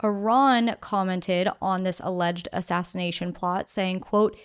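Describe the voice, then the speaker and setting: A woman hosting a news podcast, reading scripted stories in a measured, explanatory way with casual asides. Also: on the low side, steady tone, clean tone.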